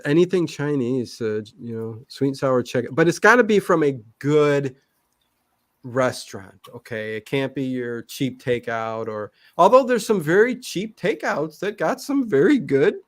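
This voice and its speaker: A man chatting casually as an interview guest, rambling and often hesitating and correcting himself. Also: mid-range voice. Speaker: guest, online old-time radio channel host.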